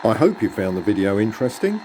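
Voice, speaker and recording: A man presenting a radio receiver in a casual, unhurried, matter-of-fact demonstration. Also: fairly low pitch, very animated, noisy background.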